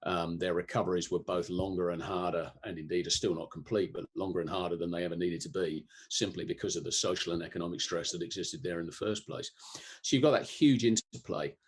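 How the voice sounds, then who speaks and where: Man from Europe, very low voice, husky, thoughtful, explaining with frequent pauses. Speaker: guest, disaster resilience expert. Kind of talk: conversational interview answers.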